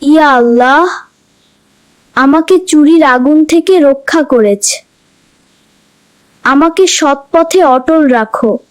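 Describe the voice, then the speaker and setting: A woman's voice: sits high, slow pace, pauses often, poor audio. A woman voicing an earnest young boy in a moral cartoon, dramatic and repentant. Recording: music behind the voice.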